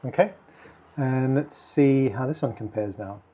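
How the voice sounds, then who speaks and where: Quiet, mellow-voiced man thinking aloud. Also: medium pitch, a little slow. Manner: muttering while working, thinking aloud with many fillers; speaking quite quietly. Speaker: video tutorial host. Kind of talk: casual screen-recording tutorial.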